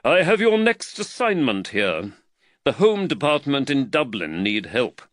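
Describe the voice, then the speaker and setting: A man reading an audiobook voices an earnest, fretful London magistrate, speaking higher, louder and more emphatically than in his narration. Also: sing-song, a little slow, no echo. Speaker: magistrate and employer.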